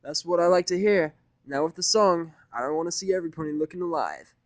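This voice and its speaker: A man voicing a showy, enthusiastic female pony DJ making loud announcements in a story reading. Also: fairly high pitch, lively intonation, fast talker, dry recording, pitched above the performer's own voice, close to an everyday voice. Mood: excited. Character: brash, enthusiastic female pony DJ hyping a crowd.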